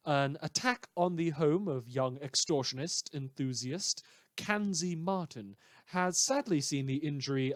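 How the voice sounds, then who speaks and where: A theatrical man acting as a radio newsman delivers satirical monologues in a fairly high male voice. He is sardonic and bitter, stretching emphatic words for effect. The sound is very dry, as in a scripted audio drama. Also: no room sound. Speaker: radio news show host.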